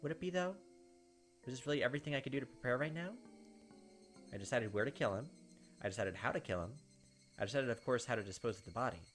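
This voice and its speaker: A man's voice: medium pitch, animated, quick pace, pauses often, a bit bright. A man reading a visual novel aloud in a dramatic first-person way, with casual asides as a gaming host. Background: game music.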